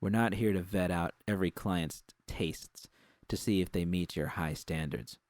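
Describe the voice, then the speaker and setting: A man's voice: deep voice, normal speed, a little mellow, no echo. A man voicing a bossy, exasperated woman gang boss in an audiobook story, scolding and swearing in a domineering way. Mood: curt.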